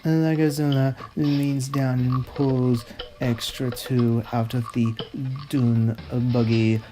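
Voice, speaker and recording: A man's voice: mid-range voice, level tone, takes it slowly, background noise, natural voice. A man playing a pompous, fussy narrator who reads out a script theatrically. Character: snobby, fussy male narrator, a pompous connoisseur. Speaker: narrator host.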